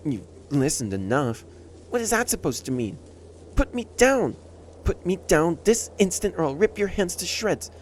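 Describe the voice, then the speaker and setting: A man playing a proud, prickly stray catboy who hides his loneliness, in an emotional audio roleplay with a haughty, halting delivery. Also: sits high, a little hiss or hum. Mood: indignant, panicked. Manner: tsundere, haughty, defensive, halting.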